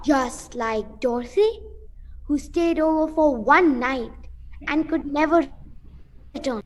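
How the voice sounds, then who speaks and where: A woman playing a proper, nervous but defiant village mother in an amateur radio play, speaking in a halting, deliberate way. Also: high voice, a little hiss or hum. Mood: grave, defiant.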